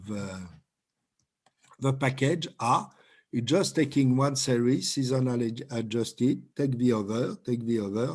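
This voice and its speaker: A man with a French accent leads an online training session from a home room, speaking over a video call. He talks slowly and in a halting way, often repeating a word before he finds the next one. His pitch sits in a middle range for a man. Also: a little mellow, slightly rough. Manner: slow, halting, repeats words while explaining.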